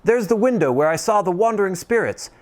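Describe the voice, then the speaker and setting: An old miser bursting with joy, his voice climbing high and tumbling out in excited cries. A man acts him out in a storybook reading. The delivery swings widely in pitch. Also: a little fast. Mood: giddy. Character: overjoyed old miser man, giddy and reformed.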